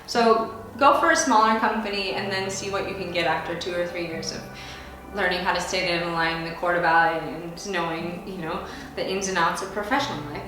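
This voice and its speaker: A woman's voice: fairly low pitch, steady tone, a little fast, pushed and bright. Chatty American woman in an echoey room. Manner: chatty and upbeat, with frequent "like" and "you know" fillers. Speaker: ballet dancer answering viewer questions. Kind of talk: casual Q and A vlog. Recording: very echoey room, distant mic, music behind.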